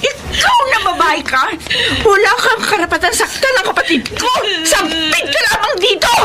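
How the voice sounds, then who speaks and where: A woman's voice: very high voice, big pitch swings, normal speed. A woman acting a protective, grieving young eldest sister in a Filipino radio drama, earnest and pleading. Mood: outraged.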